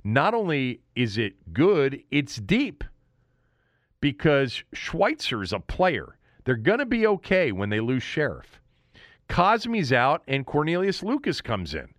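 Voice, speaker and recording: A male sports host talks through a game recap in a conversational, opinionated style. His pitch is mid-range but swings widely as he stresses his points. The sound is dry, with very little room in it. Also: huge pitch swings, slow pace, no echo. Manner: opinionated, emphatic.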